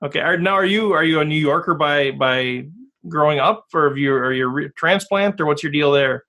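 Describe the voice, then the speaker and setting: A man's voice: a little high, fairly quick, pushed and bright. A man hosting a podcast interview, joking and rambling with many restarts. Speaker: podcast host. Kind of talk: casual interview.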